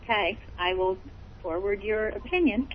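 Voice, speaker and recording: A woman working in a school office, handling a phone call in a brisk, noncommittal, informal manner over a lo-fi line. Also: mid-range voice.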